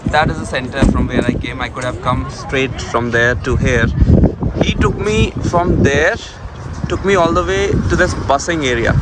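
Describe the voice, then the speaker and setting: A young man from India with an Indian accent giving a casual, rambling walking-tour commentary at an ancient site, with many pauses and restarts. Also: medium pitch. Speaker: travel vlogger. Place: outdoors at an ancient monument site and inside a stone passage. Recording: loud outdoor noise with passing vehicles.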